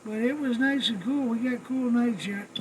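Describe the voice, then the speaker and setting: A man's voice: extremely high pitch, normal speed. A man chatting as a CB radio operator in folksy, clipped call-sign phrases and slang. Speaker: CB radio operator, channel host. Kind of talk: CB radio chat with trucker slang. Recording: noisy radio static and ambience.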